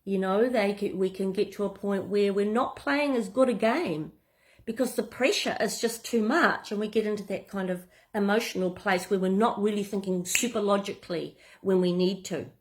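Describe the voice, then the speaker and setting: A woman with a New Zealand accent, giving business advice in a relaxed, conversational way with many fillers and restarts. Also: medium pitch, moderate pace. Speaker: business coach. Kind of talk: talking-head business tips.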